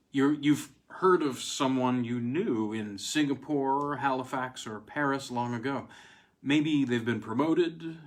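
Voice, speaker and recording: A man reading vintage weird fiction aloud as a dramatic first-person story, with deliberate pauses and emphatic stresses. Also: medium pitch.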